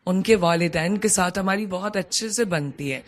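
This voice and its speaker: A woman's voice: fairly low pitch, moderate pace, lightly acted. A woman playing an evasive, hospitable, chatty auntie in a cartoon story, coaxing and motherly. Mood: resigned.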